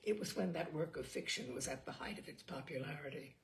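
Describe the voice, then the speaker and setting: A woman reading a literary short story aloud expressively, with deliberate dramatic emphasis and pauses. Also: low-pitched, vocal fry. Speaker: storyteller, audiobook reader.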